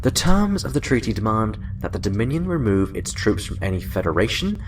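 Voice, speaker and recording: An actor playing a genial senior admiral in a science fiction audio drama, measured and formal, sounding a little away from the mic. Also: male voice, lowish voice, big pitch swings, distant mic, slight background noise. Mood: formal, briefing. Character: genial, senior male admiral, chatty then businesslike.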